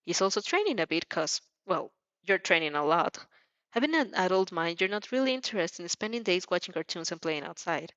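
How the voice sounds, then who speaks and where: A woman reading a story aloud as an expressive amateur narrator, sometimes stumbling and rereading phrases. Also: fairly low pitch, brisk, no echo, muffled recording. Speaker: fan fiction narrator.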